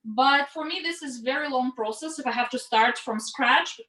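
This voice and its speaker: Home cook, woman with Russian accent, fairly high-pitched and bright, chatty and explanatory, casual demo delivery. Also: moderate pace. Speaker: home cook leading an online cooking class. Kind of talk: casual cooking demonstration. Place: indoors at home, cooking. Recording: roomy, echoey home sound.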